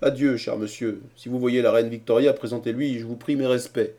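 Man doing a courteous, composed gentleman. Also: medium pitch, fairly even intonation, brisk, voice pushed up, close to an everyday voice. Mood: ironic. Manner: polite and composed. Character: calm, courteous man from Brittany.

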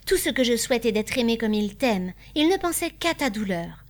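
Storybook role: devoted daughter, earnest and loyal, slightly raised pitch, played by a female reader. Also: big pitch swings, a little fast. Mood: earnest, tender. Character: loving, loyal grown daughter defending her father. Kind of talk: dramatised audiobook dialogue.

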